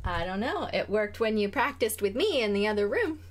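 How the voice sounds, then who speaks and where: A woman's voice: mid-range voice, sing-song, a little hiss or hum. A woman co-hosting a live stream from home, teasing and giggly as she reads out the chat. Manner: giggly, teasing, drawn-out delivery. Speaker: co-host reading chat.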